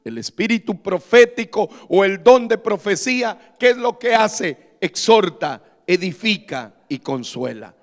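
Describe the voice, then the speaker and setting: A man's voice: high voice, lively intonation, unhurried, hardly any pauses, in a large hall. A man preaching as a pastor, delivering an impassioned, emphatic Bible-study sermon with repeated questions to his congregation. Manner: emphatic, exhorting. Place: large reverberant church hall before a congregation.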